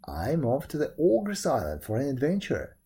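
A brave young storybook hero, voiced by a storyteller, confident and plain-spoken. The tone is cheerful and mellow, with lively movement in pitch. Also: moderate pace, husky, exaggerated voice. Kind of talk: storybook character voice.

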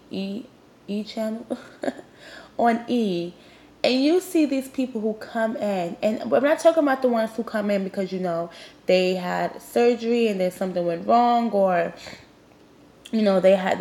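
A woman's voice: mid-range voice, a little mellow. A young woman hosting her own show, talking bluntly and with emphasis straight to her listeners; she sounds nasal and sniffly from a cold. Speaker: podcast host. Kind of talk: candid motivational monologue.

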